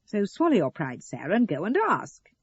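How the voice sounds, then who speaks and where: A woman voicing a curious, perceptive man in an audio story, questioning, reasonable and gently needling. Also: mid-range voice, wildly swooping pitch, normal speed, husky, dry recording, lo-fi sound, heavily acted. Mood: long-suffering. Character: curious, perceptive man, mildly long-suffering houseguest.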